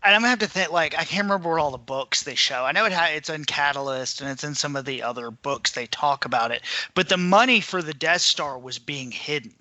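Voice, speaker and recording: A man's voice: fairly high pitch, fairly quick, pushed and bright, no echo. A man co-hosting a casual podcast discussion, informal and thinking aloud in fragments. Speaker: podcast co-host.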